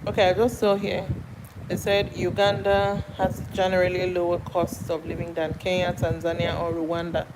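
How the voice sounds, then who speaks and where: A woman's voice: lowish voice, completely flat delivery, normal speed. A Nigerian woman hosting a casual live stream, greeting viewers by name and answering their comments aloud in a chatty way. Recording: noisy background with passing traffic.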